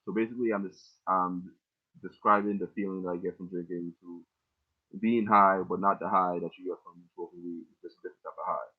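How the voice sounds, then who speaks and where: A man's voice: deep voice, steady tone, a little fast. A young man casually breaking down his own song lyrics line by line, rambling and swearing freely. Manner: loose, rambling, heavy casual profanity. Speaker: rapper explaining his lyrics. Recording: tinny phone-like audio.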